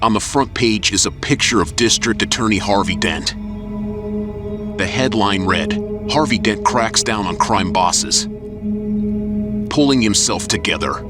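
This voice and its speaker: Low-voiced male narrator over music. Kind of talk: scripted audio drama narration. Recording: music bed under narration.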